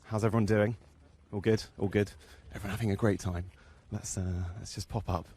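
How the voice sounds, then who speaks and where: A man reporting live from a golf course, talking quietly and walking along with the players as he commentates. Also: fairly low pitch, steady tone, brisk, husky.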